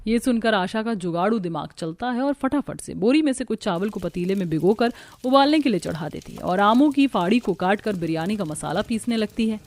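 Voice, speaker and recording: A woman's voice: medium pitch, animated, a little fast, few pauses, darkish tone, a little hiss or hum. A woman narrator reading a scripted story.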